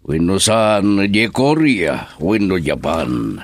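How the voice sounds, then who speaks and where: A man's voice: low voice, big pitch swings, takes it slowly, no echo, heavily acted. An actor playing a middle-aged philandering businessman father in a radio drama. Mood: smooth, evasive. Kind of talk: Ilocano radio drama acting.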